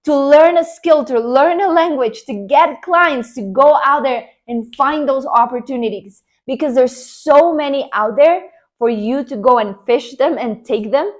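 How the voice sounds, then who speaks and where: A woman's voice: high-pitched, measured pace. A young woman from Colombia with a Colombian Spanish accent, Spanish her first language, coaching viewers on online careers in an earnest, encouraging talk to camera. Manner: earnest, encouraging, emphatic with repeated promises.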